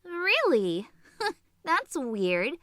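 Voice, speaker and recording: A woman's voice: high voice, wildly swooping pitch, drawn-out words, fairly bright tone, no room sound, studio quality, heavily acted. A woman playing a petite, short-tempered teenage sorceress in a comedic anime fan audio drama, sarcastic and teasing. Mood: dry, skeptical.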